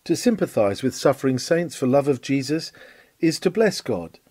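A man reading an old sermon aloud in a measured, declamatory way, with swelling emphasis. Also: medium pitch, sing-song, warm tone, dry recording. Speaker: reader of a historical sermon.

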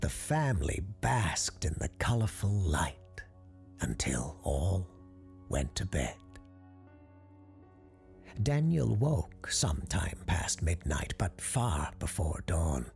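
A deep-voiced narrator tells a scripted ghost story with a gravelly creak. The delivery is hushed, suspenseful and widely varied in pitch, over music. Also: sing-song, slow. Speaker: storyteller, host.

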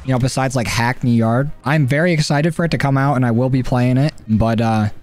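Hoarse young guy on a headset, rambly casual gaming chat, swears a bit, faint hum behind. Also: medium pitch, a little fast, a little mellow. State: hoarse, voice not fully back. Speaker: gaming YouTuber. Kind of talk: casual gameplay commentary. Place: home gaming setup, indoors.

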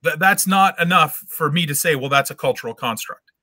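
A man's voice: fairly high pitch, a little fast, sharp tone, no room sound, studio quality. A middle-aged man arguing his case in an online debate, insistent and lecturing, repeating words as he presses his point from a room at home on a video call. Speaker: debate guest. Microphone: webcam video call.

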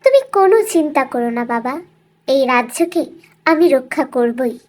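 A woman's voice: high-pitched, lively intonation, moderate pace, a little mellow. A woman voicing a brave, devoted little princess in a cartoon fairytale, earnest and resolute. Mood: determined.

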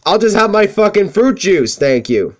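A young man with a high-pitched voice, swearing freely as he tells rambling stories over gameplay. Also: very animated, normal speed. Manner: profane, rambling, self-deprecating. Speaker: let's play commentator. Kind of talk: casual gameplay commentary with storytelling.

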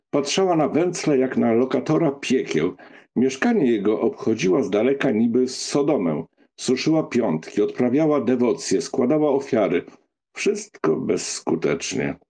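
A man reading a literary novel as an audiobook narrator, with an expressive storytelling delivery. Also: medium pitch, gravelly, husky.

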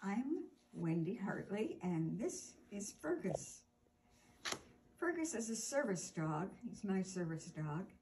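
An older woman in her sixties hosting a children's storytime, reading aloud deliberately and expressively, drawing out words for emphasis. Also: medium pitch, very animated, darkish tone, a little hiss or hum. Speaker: storytime host reading a picture book aloud. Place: indoor room with armchair.

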